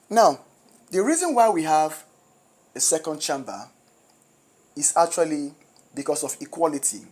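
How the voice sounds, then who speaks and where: Husky Nigerian tutor with a sore throat. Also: mid-range voice, a little mellow. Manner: reads out options and explains step by step. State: has a flu with a sore throat. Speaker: exam tutor. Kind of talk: walking through exam questions.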